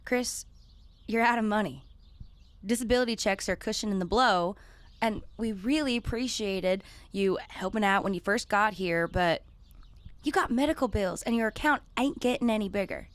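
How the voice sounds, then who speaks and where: A woman's voice: medium pitch, normal speed, no room sound, natural voice. An actress playing a blunt, practical rural woman, in a plain-spoken radio drama style. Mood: frank. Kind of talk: naturalistic radio drama acting.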